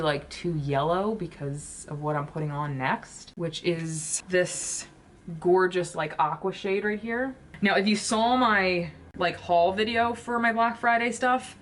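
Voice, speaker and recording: A woman beauty vlogger chatting casually while she does her makeup, swearing often and gushing about products. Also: fairly low pitch.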